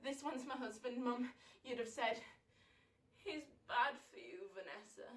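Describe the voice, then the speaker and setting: Actress as high-voiced unhinged woman, echoey room. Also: unhurried, natural voice. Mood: tearful, fraught. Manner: erratic, swinging between singsong, shouting and confessing. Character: unhinged wife and bereaved mother confiding in her mother. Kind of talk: dramatic theatre monologue. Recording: echoey room, far from the mic.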